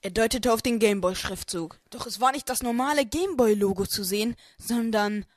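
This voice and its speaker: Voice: medium pitch, brisk, dry recording, clean studio sound. A narrator reading a scripted comic audio play in a storytelling style. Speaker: narrator and main character.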